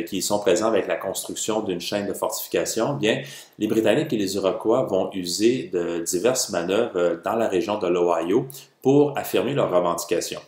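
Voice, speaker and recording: A man in his thirties from Quebec with a Quebec French accent, giving a formal history lecture with many hesitant pauses and filler sounds. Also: low voice, a little mellow, echoey room. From Quebec, Canada. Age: 30s. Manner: formal and measured, heavy "euh" hesitations. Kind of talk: educational history lecture video.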